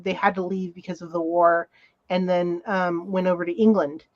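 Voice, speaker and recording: A woman's voice: lowish voice, little pitch movement, moderate pace, dry recording. Woman chatting, dry slightly dull sound. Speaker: talk show panelist. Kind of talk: casual podcast chat.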